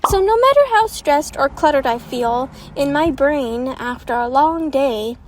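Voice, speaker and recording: A young woman hosting her own channel, chatting earnestly and directly to viewers as she gives relationship advice. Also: high-pitched. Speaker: channel host. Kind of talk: casual advice monologue.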